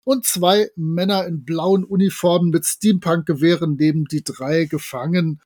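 A man's voice: on the high side, fairly even intonation, moderate pace, darkish tone, no room sound, studio quality. A man giving a casual recap as a podcast co-host.

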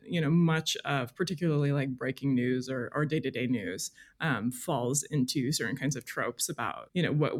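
A woman speaking as an interview guest, a journalist talking candidly and thoughtfully in a casual, slightly hesitant way. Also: deep voice. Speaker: guest, freelance magazine journalist.

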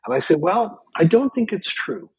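An older man from Canada, whose first language was Yiddish, telling stories in a casual podcast chat with lively restarts. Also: high voice, moderate pace, slightly rough, no echo. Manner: animated storyteller with frequent restarts. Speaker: guest.

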